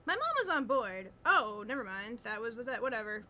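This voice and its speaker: A woman's voice: on the high side, sing-song, a little fast, bright, forceful tone, slight background noise. A woman with a Boston accent reading a light novel aloud casually, adding her own chatter and playful character voices. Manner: does small voices for characters, laughs often. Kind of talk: casual read-aloud with commentary.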